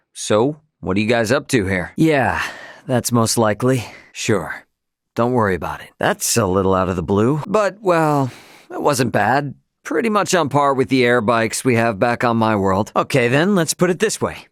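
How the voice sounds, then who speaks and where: A man's voice: medium pitch, dry recording, lightly acted. Man acting as brash young captain, studio-dry voice. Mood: relaxed, friendly. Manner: informal, slangy, plain-spoken. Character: brash, casual young starship captain, cocky but loyal man. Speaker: video game voice actor. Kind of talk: English game dub character dialogue.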